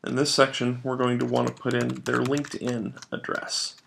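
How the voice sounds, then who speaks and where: A man narrating a step-by-step software tutorial as a trainer, explaining each step in an instructional way. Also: medium pitch, monotone, moderate pace, vocal fry, a little hiss or hum.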